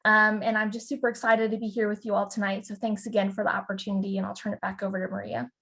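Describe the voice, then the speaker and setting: A woman's voice: mid-range voice, flat delivery, fast, a bit bright. A young woman from Indiana, a college senior and student board president, giving an upbeat, polished introduction over a video call. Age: young adult. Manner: upbeat, polished, lightly scripted. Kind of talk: prepared panel introduction.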